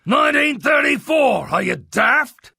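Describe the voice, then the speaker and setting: A man voicing a rough, bullying, sarcastic smuggler captain with loud, salty sailor talk, in pulp radio-drama style. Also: very high voice, sing-song, measured pace, dry recording, studio quality, pitched above the performer's own voice, full character voice. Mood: exasperated roar.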